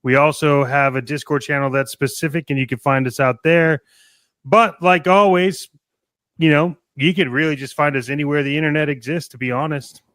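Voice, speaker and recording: Smooth, higher-voiced man co-hosting a podcast. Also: steady tone, moderate pace, fairly bright tone, no room sound, studio quality. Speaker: podcast co-host. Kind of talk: casual promotional podcast chat.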